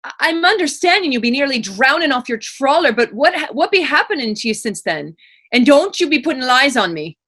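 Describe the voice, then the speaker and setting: A woman's voice: high voice, very animated, a little fast, fairly bright tone. A woman playing a plainspoken, teasing but compassionate woman in readers theater, speaking frank, folksy dialect. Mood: probing. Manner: folksy, frank questioning in archaic Irish dialect. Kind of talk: readers theater dramatic dialogue.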